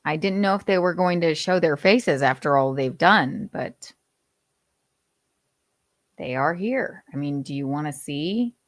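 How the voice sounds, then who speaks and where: A woman's voice: low voice, expressive, unhurried, long pauses, dry recording, studio quality. A woman hosting a comedic live stream, playful and mock-shocked, with lots of hesitant fillers.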